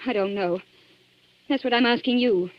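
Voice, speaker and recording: Woman acting nervy suspicious lady, urgent, highish voice, muffled forties radio drama. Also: moderate pace, no echo, close to an everyday voice. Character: anxious, suspicious young woman, unwilling accomplice. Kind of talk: 1940s radio thriller acting. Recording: dull 1940s radio recording.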